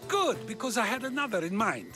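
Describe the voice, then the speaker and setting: A man's voice: sits high, very animated, fairly quick. A man playing an eloquent, probing ancient Greek philosopher in video game dialogue, theatrical and full of rhetorical questions. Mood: wry, teasing. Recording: music under the voice.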